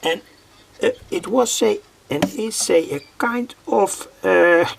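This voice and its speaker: A Dutch man with a husky, creaky voice gives an unscripted hands-on demonstration in accented English. He speaks very slowly and deliberately, halting in mid-sentence as he goes. His pitch sits a little high for a man. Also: darkish tone. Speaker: electronics hobbyist presenter. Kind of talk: unscripted demonstration of a homemade device.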